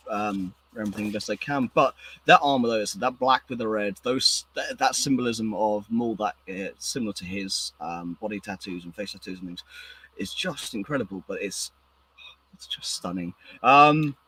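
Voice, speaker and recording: A hoarse man hosting a casual podcast chat, talking excitedly and rambling with many restarts. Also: lowish voice, poor audio. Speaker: podcast host.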